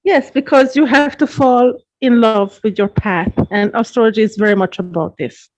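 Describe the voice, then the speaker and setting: Guest read: woman, earnest and measured, slightly high voice, dull lo-fi sound. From Hungary. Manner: earnest, measured, gently repeats words. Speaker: astrologer guest. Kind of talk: interview answers, explaining at length. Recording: dull lo-fi podcast audio.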